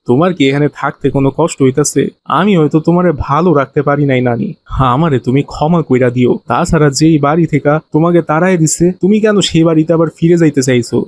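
A man's voice: on the high side, a little mellow, natural voice. A man playing a poor, devout village husband in a cartoon, speaking earnest, pleading colloquial dialect with a Bangladeshi accent. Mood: hurt, apologetic. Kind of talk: animated moral story dialogue.

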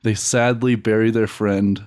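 Giggly man pitching sketch ideas. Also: level tone, darkish tone. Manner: halting, giggly storytelling. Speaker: sketch comedy podcaster. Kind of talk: casual group brainstorming.

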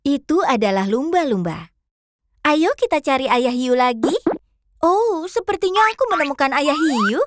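A woman's voice: sits high, big pitch swings, normal speed. A woman voicing a cheerful, curious little fox in a children's cartoon, playfully addressing young viewers. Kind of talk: children's cartoon narration and dialogue.

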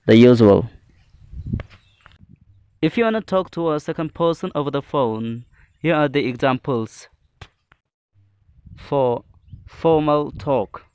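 A man teaching a scripted English lesson, reading out phrases in a deliberate, phrase-by-phrase way. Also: mid-range voice, a little mellow.

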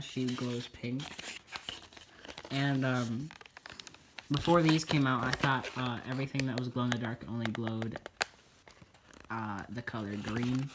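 A man's voice: medium pitch, heavy background noise. A young man with a stuffy nose chatting casually while unboxing a toy at home, rambling with lots of fillers. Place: indoors at a table in a home room.

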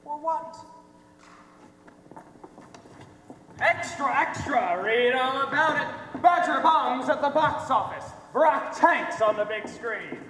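A man's voice: extremely high pitch, lively intonation, unhurried, pushed and bright, heavily acted. A young man on stage playing a sardonic, theatrical tormentor who taunts cruelly. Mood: mocking. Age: young adult. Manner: theatrical, mocking. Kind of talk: high school stage play acting. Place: theater stage, filmed from the audience. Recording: echoey theater stage, noisy hum.

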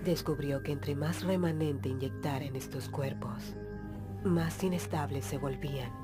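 A woman voicing a composed, stern animatronic leader, measured and formal, in a Latin American animated fan dub. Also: low voice. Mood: grave. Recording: music behind the voice.

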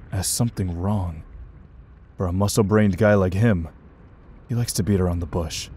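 A calm young sorcerer played by a man in a manga dub, with a fairly low voice. He speaks earnestly and with measured composure over noticeable background noise. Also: lightly acted. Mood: reflective. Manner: measured, explanatory. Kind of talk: amateur manga dub acting. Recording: clear background noise and ambience.

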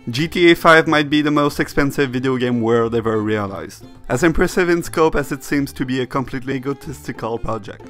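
A man's voice: medium pitch, animated, brisk, few pauses, a bit bright. Male narrator for a scripted games essay; mid-range voice over a music bed. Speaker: narrator reading a video essay.

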